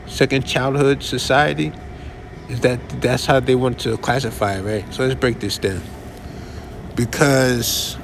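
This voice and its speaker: A man hosting a podcast, giving casual commentary in a conversational, halting way with restarts and asides. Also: medium pitch, noisy background. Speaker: podcast host.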